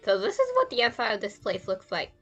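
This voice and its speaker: A woman's voice: medium pitch, huge pitch swings, quick pace, a bit bright, dull audio, faint music behind. A woman streaming a video game, reacting casually as she plays.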